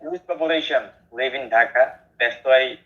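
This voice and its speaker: A man's voice: mid-range voice, normal speed, lo-fi sound. A man from Bangladesh, a language learner, talking politely and a little hurriedly in a practice conversation.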